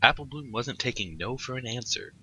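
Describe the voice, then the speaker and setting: A man's voice: mid-range voice, expressive, brisk, sharp tone, husky, poor audio. A man narrating a scripted story aloud as a co-host. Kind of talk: scripted fan fiction narration.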